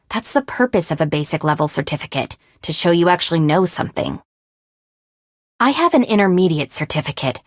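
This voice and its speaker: A capable young woman, second-in-command of a gang in a video game, played by an actress, speaks in a slightly low voice. She is composed and level-headed, with a dry, matter-of-fact delivery. The sound is close and dry, with no room to it. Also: no echo, natural voice. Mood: instructive. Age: young adult. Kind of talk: video game character voice lines.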